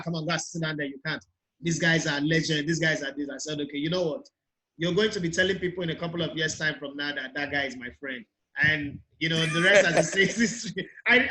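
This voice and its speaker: Male interview host, Nigerian accent. Conversational, higher-than-average pitch, sharp forceful tone. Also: rough recording.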